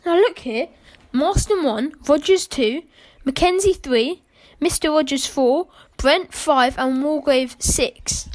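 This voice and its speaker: A young woman playing a brisk, wry adventurer who takes charge in an amateur radio mystery, with clipped, old-fashioned phrasing. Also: sits high, poor audio. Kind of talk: amateur radio play acting.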